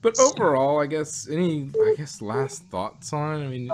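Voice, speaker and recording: A man's voice: fairly high pitch, huge pitch swings, normal speed. Guy hosting a chatty review podcast, keeps rephrasing himself, bit of noise behind him. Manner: rambling, repeats and rephrases himself. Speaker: podcast host. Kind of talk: casual review chat about sci-fi shows.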